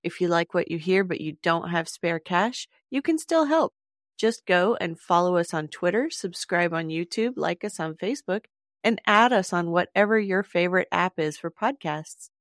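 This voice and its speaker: A woman's voice: on the low side, lively intonation, normal speed, dry recording, studio quality. A woman podcast host giving a scripted announcement, speaking directly to listeners.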